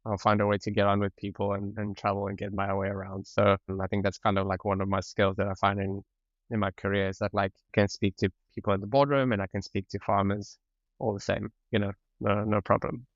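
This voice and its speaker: A man's voice: lowish voice, flat delivery, brisk, no room sound, muffled recording. A man with a mixed French and South African accent, talking as a podcast guest in an easygoing way, often laughing. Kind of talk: casual conversational interview.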